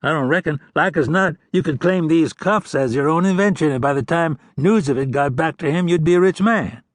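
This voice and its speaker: A man's voice: on the high side, no room sound, studio quality, pitched above the performer's own voice, lightly acted. A man voicing a chatty, sly male outlaw prisoner who wheedles and pleads in a raised voice. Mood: wheedling. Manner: wheedling, fast-talking, pleading.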